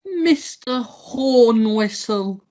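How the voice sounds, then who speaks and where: A woman playing an overwrought, childish gentleman hero, wailing and halting in a melodramatic radio play. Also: on the high side, expressive, muffled recording. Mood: wounded, accusing. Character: dazed, hysterical, childish gentleman hero mourning his teddy.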